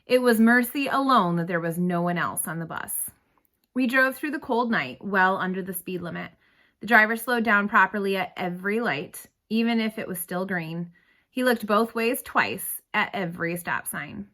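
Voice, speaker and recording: A woman reads a story aloud as narrator, with expressive and dramatic phrasing. Her voice is bright and forceful, sitting at a medium pitch. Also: moderate pace.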